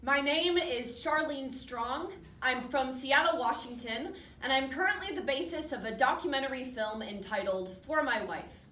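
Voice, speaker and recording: Woman doing a chatty nervous widow in a stage monologue, halting, kinda high voice, echoey room. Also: sharp tone. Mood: modest, friendly. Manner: halting, conversational, with nervous asides. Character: grieving upbeat widow from Seattle, chatty and nervous. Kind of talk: dramatic monologue staged as a documentary interview. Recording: distant roomy hall recording with faint noise.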